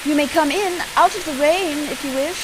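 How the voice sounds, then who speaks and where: A woman's voice: high-pitched, normal speed, noisy background, lightly acted. An actress playing a prim, proper, easily flustered lady in a radio play, formal and a little hesitant. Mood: shy, softening. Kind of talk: old-time radio play acting.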